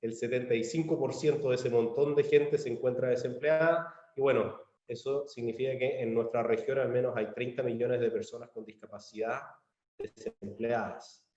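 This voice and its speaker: A man from Chile with a Chilean accent gives a measured online presentation, pausing on fillers and restarting phrases. His voice sits in a middle range. It comes through a dull, lo-fi video-call line from a roomy space. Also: mid-range voice, fairly even intonation, mellow. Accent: Chilean Spanish. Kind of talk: virtual conference presentation. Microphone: computer mic on a video call.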